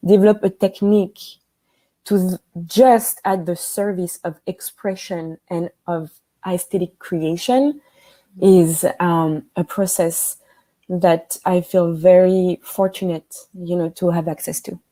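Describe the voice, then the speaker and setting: A woman from France with a French accent, a guest speaking thoughtfully on a video call, pausing often and using fillers. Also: on the low side, fairly even intonation, warm tone. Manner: thoughtful, with frequent pauses and fillers. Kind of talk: conversational interview. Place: home room with a piano.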